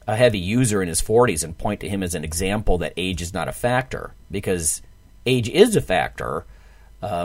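A man's voice: on the low side, dry recording. A man in his forties hosting a podcast, talking casually about fitness. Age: 40s. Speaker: podcast host.

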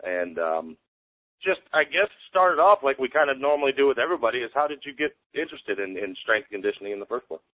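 A man hosting a podcast interview in a casual way, introducing his guest with frequent ums and pauses. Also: medium pitch, sing-song, no room sound, narrow, tinny audio. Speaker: podcast host, strength coach.

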